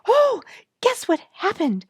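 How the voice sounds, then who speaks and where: A woman voicing an excited, giggly little girl in a storybook, exclaiming gleefully with drawn-out, emphatic words. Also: very high-pitched, no room sound, clean studio sound, voice pushed up, exaggerated voice. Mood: breathless excitement.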